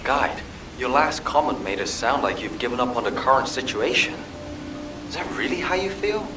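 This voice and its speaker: An actor playing an earnest, caring and dutiful military medical corps commander in a video game. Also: male voice, mid-range voice, very animated, normal speed, lightly acted. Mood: doubtful, challenging. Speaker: field officer. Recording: roomy, distant, music underneath.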